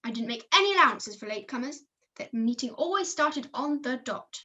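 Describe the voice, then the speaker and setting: Muffled call audio frames a woman playing a prim male comedy puppet. The delivery is pompous and formal, high in pitch and crisp-edged. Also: expressive, a little fast, bright, forceful tone. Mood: smug. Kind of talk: comedy puppet show acting. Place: video call.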